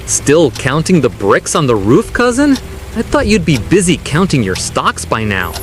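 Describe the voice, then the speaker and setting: A wry, artistic cousin played by a man in an audio drama, quietly defiant and teasing. His pitch moves in a lively way, and music plays behind him. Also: moderate pace.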